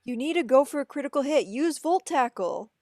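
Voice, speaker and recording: A woman's voice: high-pitched, lively intonation, fairly quick, a little mellow, no echo, clean studio sound. A woman playing an earnest, encouraging young male trainer in an amateur table reading, coaxing and urgent.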